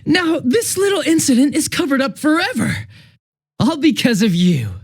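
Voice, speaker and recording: An actor playing a smug, two-faced young police detective in a video game, casual and sarcastic with a taunting, cruel edge. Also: male voice, extremely high pitch, big pitch swings, moderate pace. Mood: manic glee. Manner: casual, sarcastic, taunting, shifting from breezy to menacing. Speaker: police officer.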